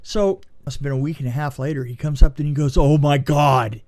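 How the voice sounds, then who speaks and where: Higher-pitched man telling film-trade stories. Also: steady tone, fairly quick, darkish tone. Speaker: podcast co-host and film production designer. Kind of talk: casual movie review chat and anecdotes.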